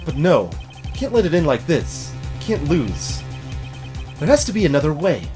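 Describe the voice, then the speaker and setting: A man's voice: a little high, huge pitch swings, unhurried, over music. A man voicing an earnest, desperate young male defense lawyer in a video game, dramatic and determined.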